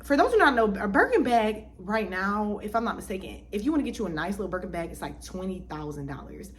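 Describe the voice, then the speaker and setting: A young woman talks straight to her viewers in a casual vlog. Her voice sits at a middle pitch for a woman, and her speech is loose and chatty, full of fillers and restarts as she works through her thoughts. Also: big pitch swings, fast, a little hiss or hum. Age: 20s. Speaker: vlogger.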